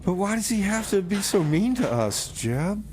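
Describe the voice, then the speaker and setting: A man's voice: high-pitched, very animated, moderate pace, darkish tone. Man playing rambling radio DJ, noisy background. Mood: aggrieved. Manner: rambling, repeats himself. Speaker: radio show host and DJ. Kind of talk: casual improvised radio banter.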